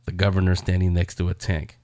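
Low-voiced man hosting a casual show. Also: completely flat delivery, a little fast, a little mellow, no room sound. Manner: rambling, conversational, addresses the audience. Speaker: show host. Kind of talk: casual chat reviewing TV shows.

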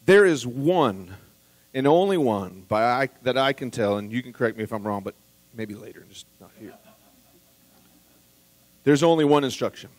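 Man preaching, mid-pitched voice, earnest, swells loud then hushed, dry close mic. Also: expressive, moderate pace, long pauses, no echo, poor audio. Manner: earnest, building to emphatic peaks then dropping quiet; repeats words when restarting, conversational asides to the congregation. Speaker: pastor. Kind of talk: sermon.

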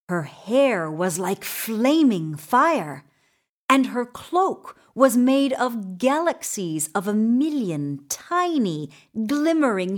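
A woman narrates a children's tale at a very unhurried pace. Her pitch moves animatedly as she leans on key words for drama. The delivery is deliberate and expressive, made for storytelling.

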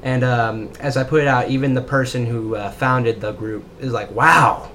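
A man's voice: mid-range voice, fairly even intonation, normal speed, fairly bright tone, slight background noise. A young man co-hosting a casual podcast, rambling and joking about himself as he talks. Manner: rambling, self-deprecating, jokey. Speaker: podcast co-host. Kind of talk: casual chat.

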